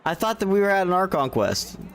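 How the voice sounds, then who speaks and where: A man's voice: sits high, big pitch swings, fast. A man giving casual livestream game commentary, informal and self-deprecating as he thinks aloud. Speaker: gaming livestreamer. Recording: music playing behind the voice. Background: music.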